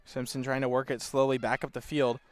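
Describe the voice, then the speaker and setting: A man's voice: mid-range voice, flat delivery, a little fast. Laid-back Californian soccer commentator man. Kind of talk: play-by-play commentary.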